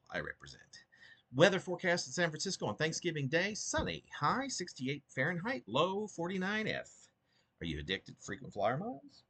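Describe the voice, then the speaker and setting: A man with a husky voice speaking as a poet about and from his own work, in an informal, halting and digressive way. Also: fairly low pitch, pushed and bright. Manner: halting, digressive, self-interrupting. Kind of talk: rambling informal commentary and poetry reading.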